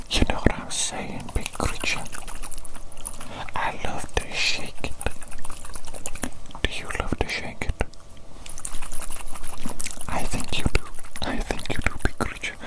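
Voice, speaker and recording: A woman speaks entirely in a whisper, slow and soothing. She plays a doting, playful evil doctor in an ASMR medical roleplay, softly repeating little phrases as she goes. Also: low-quality recording.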